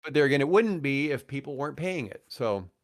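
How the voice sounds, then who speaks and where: A man's voice: mid-range voice, lively intonation, fairly quick. A man chatting casually and giving his opinions on a podcast, emphatic and often repeating his phrases as he goes. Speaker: podcast guest, comedian.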